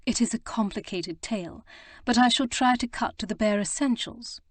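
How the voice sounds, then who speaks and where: A woman voicing a flustered, earnest young gentlewoman who stammers and rushes to explain herself. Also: a little high, no room sound, voice pushed up, natural voice. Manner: breathless, stammering, eager to explain. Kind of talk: audiobook character reading.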